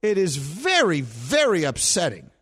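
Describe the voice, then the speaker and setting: A middle-aged man hosting a sports talk show, telling stories with animated self-mocking humor. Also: a little high, measured pace, slightly rough. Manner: animated storytelling, laughs at himself.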